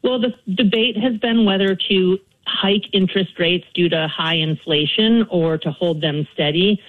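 A woman economist being interviewed live, explaining job numbers calmly. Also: fairly low pitch, unhurried. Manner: calm, explanatory. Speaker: economist guest. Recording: phone-line audio.

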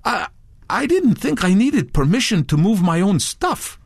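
Audiobook character, done by a male narrator: nervous male assistant, pleading his case, higher-pitched, very lively, dry sound. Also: unhurried, creaky, breathy edge, dry recording, full character voice. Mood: defensive. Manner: stammering, protesting. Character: nervous but insistent male library assistant under accusation.

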